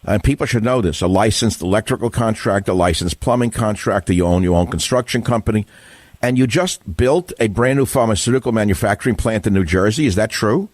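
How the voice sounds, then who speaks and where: Blunt older New York talk host. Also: male voice, fairly low pitch, no room sound. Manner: combative, blunt, quick questions with rising emphasis. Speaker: radio talk show host. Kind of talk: radio call-in interview.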